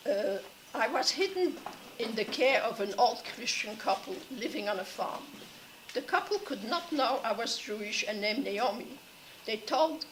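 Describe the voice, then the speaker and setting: An older woman from Belgium, reading her prepared memoir to students in a measured way and often clearing her throat. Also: fairly high pitch, background noise. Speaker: Holocaust survivor speaker. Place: classroom.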